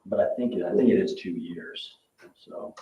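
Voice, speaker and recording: A man's voice: deep voice, normal speed, mellow, muffled recording. A man speaking as a council member in a public meeting discussion. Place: indoor council meeting room. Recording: echoey distant room pickup, faint noise.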